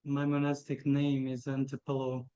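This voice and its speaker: A man's voice: medium pitch, monotone, moderate pace, warm tone, smooth, no echo, lo-fi sound. A man from Portugal, a monk, introducing himself with hesitations and spelling his name.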